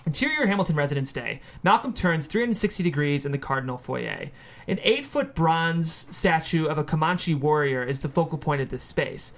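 A man's voice: on the high side, animated, normal speed. A man reading the stage directions as narrator of a screenplay table read.

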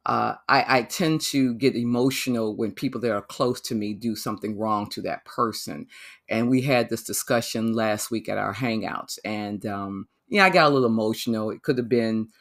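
Gravelly-voiced man hosting a podcast. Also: lowish voice, moderate pace, a bit bright. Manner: reflective, rambling, many fillers and restarts. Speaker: podcast host. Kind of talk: solo podcast monologue.

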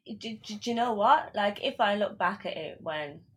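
A woman's voice: medium pitch, animated, moderate pace, slightly rough. A young woman in casual chat, frank and teasing, with a lot of "like".

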